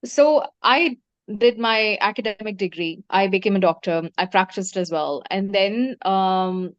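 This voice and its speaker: A woman's voice: mid-range voice, a little slow, no room sound. A woman in her thirties from Germany, telling her personal story conversationally as an interview guest, on dull lo-fi audio. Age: 30s. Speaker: interview guest, doctor and small business founder.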